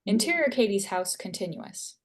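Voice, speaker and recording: Casting: female narrator, medium pitch, scripted read of action lines at a table read. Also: sing-song, moderate pace. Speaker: screenplay narrator. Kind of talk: reading stage directions at a table read.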